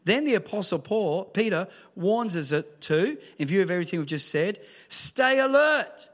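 A man preaching a sermon in a church, mixing scripture with humorous stories and sometimes rising to a shout. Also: high voice, normal speed. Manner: lively storytelling with humour, rising to shouts. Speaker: pastor. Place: church hall with screen.

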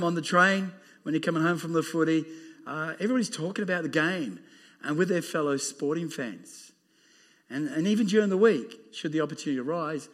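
A man with an Australian accent and a loud, booming voice, preaching an emphatic sermon to a church congregation. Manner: emphatic, laughing, exclaiming amen. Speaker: pastor.

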